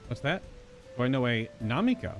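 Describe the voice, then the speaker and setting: A man's voice: medium pitch, sing-song, a little fast. A man live-streaming a game, reading story dialogue aloud in character and now and then stumbling over invented names. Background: game music.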